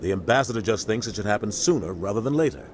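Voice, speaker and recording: An actor playing a middle-aged veteran military captain in a video game, earnest, weighty and plain-spoken. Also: male voice, on the low side, expressive, fast, a little hiss or hum, lightly acted. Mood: explaining. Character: grave veteran military captain, male, protective and embittered. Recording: faint music behind the voice.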